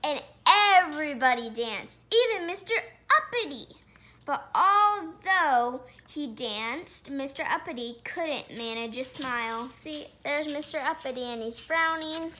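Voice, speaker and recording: A woman reading a children's picture book aloud, pausing to point out pictures and put questions to listeners. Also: high-pitched, expressive, pushed and bright, a little hiss or hum. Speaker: storybook reader.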